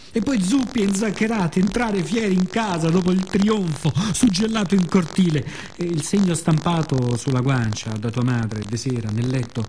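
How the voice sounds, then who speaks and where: A man performing an expressive, playful theatrical monologue for radio theatre. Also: fairly high pitch, slight background noise. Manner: expressive, with playful spoken sound effects.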